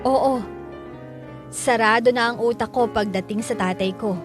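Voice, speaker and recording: A woman's voice: on the high side, measured pace, over music. Filipino woman narrating a radio drama. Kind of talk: dramatic first-person letter narration.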